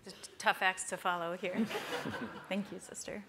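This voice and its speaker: A woman's voice: fairly low pitch, level tone, measured pace, heavy background noise. A woman in her thirties giving a semi-scripted presentation as a panel speaker. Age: 30s. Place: wood-panelled library room at a panel table. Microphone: lapel.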